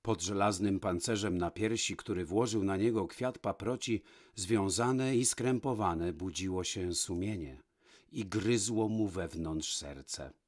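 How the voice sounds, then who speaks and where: Slow, low-voiced male storyteller. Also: darkish tone. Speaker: storyteller, narrator. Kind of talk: scripted fairy-tale narration.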